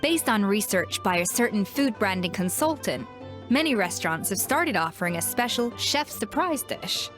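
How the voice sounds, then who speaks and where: A woman's voice: medium pitch, lightly acted. A woman playing a proud, exacting young celebrity chef in a video game, confident and precise in her delivery. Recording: music behind.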